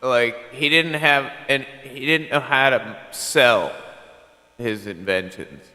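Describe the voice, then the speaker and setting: Man on a cb radio chat, rambling, bright tense voice, long reverb. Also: mid-range voice, takes it slowly. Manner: rambling, heavy on "like" and "you know". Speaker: CB radio caller.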